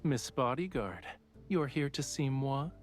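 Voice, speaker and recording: A man's voice: medium pitch, animated, a little slow, soft background music, natural voice. An actor voicing a playful, self-assured young male artist in a romance video game, with a casual, lazily teasing manner. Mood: playful, calm.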